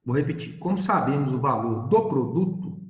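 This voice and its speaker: A man's voice: mid-range voice, animated, moderate pace, roomy sound, dull audio. A male math teacher giving a recorded video lesson, explaining step by step and asking questions he answers himself.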